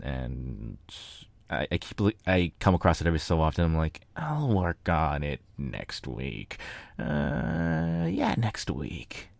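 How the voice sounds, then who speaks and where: A man hosting his channel, talking casually and informally to listeners in a halting, unscripted way. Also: deep voice, no echo. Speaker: host and fan fiction reader.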